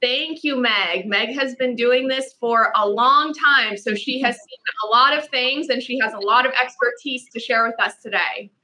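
A woman's voice: fairly high pitch, normal speed, few pauses, pushed and bright, rough recording. A woman hosting an online panel, upbeat as she introduces and thanks each guest. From immigrant to the US. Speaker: host. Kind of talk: webinar panel moderation.

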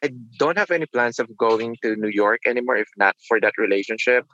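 A man, talking in a reflective, halting way as a nurse being interviewed. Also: mid-range voice, pushed and bright, muffled recording. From Philippines. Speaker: nurse guest. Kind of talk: casual interview.

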